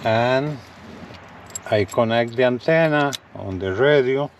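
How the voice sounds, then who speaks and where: A man presents gear in a casual vlog style at a slow, unhurried pace. His voice sits at a middling pitch, and he often repeats himself as he points things out. There is faint vehicle noise around him. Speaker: gadget review vlogger. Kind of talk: casual hands-on product demo, addressing viewers. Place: in and around a parked pickup truck.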